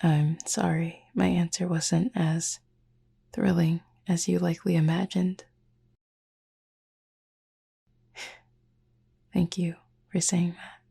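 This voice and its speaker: A woman playing a gentle, reserved cupid roommate in a sleep-aid roleplay, speaking softly and formally in a breathy near-whisper with long pauses. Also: fairly low pitch, level tone, exaggerated voice. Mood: gentle, fond. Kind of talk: slow, soft-spoken sleep-aid audio roleplay.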